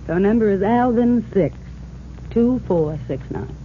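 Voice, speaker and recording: Actress as cool, tough dame, tinny sound. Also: female voice, medium pitch, animated, a little slow, close to an everyday voice. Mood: guarded, insistent. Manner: cool, blunt, knowing. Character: tough, guarded young dame with a tip. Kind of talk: 1940s radio acting. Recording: tinny, hissy old radio recording.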